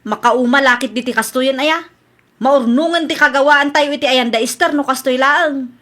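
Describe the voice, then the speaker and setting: Woman playing a shrill-bright, high-voiced nagging wife. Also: moderate pace. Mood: indignant. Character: nagging, suspicious wife accusing her neighbour of theft. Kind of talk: Ilocano radio comedy drama acting.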